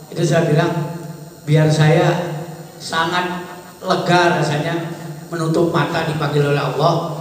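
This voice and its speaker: A man in his sixties, head of a pesantren, giving rambling, fatherly advice in a conversational way to students and alumni. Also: a little high. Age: 60s. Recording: echoey hall, distant mic, faint noise.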